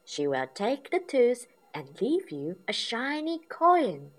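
A woman reading a storybook aloud and voicing a gentle, cheerful mother pig in a soft, raised voice. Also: a little high, sing-song, takes it slowly, voice pushed up, full character voice.

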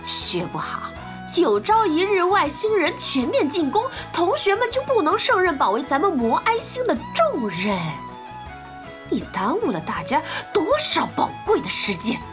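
A woman's voice: extremely high pitch, very animated, moderate pace, voice pushed up. A woman voicing a pompous, sarcastic schoolteacher who scolds pupils in an audio drama. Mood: indignant. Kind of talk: Mandarin audio drama acting. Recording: music behind the voice.

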